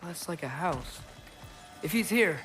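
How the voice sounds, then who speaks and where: An actor playing an eager, polite but cheeky teenage son in a video game, informal and inquisitive. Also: male voice, a little high, expressive, a little slow, over music. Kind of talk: dramatic video game acting.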